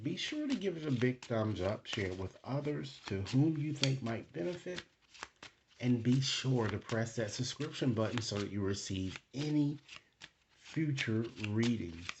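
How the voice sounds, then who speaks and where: A man hosting a card reading, speaking to viewers in deliberate, formal phrasing and often checking in with a short tag question. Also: lowish voice, background noise. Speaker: tarot card reader and channel host.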